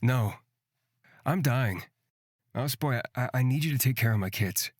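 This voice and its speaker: A woman's voice: rumbling low, unhurried, darkish tone, dry recording, studio quality, voice pushed down. A woman voicing a sarcastic, prickly young man in a fantasy game, blunt and dismissive.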